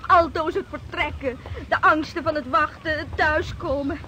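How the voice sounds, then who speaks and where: An actress in a Dutch radio drama playing a long-suffering, anxious sea captain's wife, plaintive and emotional. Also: female voice, high-pitched, steady tone, moderate pace, sharp tone. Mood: wistful. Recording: noisy outdoor harbour ambience.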